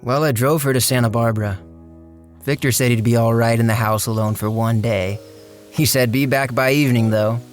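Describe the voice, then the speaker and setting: A man's voice: mid-range voice, fairly even intonation, normal speed, soft background music, lightly acted. An actor playing a big, plainspoken, laconic driver telling his story in an audio drama. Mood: matter-of-fact. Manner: laconic, matter-of-fact, colloquial. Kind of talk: audio drama first-person narration.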